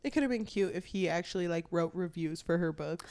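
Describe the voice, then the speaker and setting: A woman's voice: fairly low pitch, a little fast, a little mellow. A woman co-hosting a book podcast in casual conversation, informal and playful, with slangy phrasing. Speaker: podcast co-host.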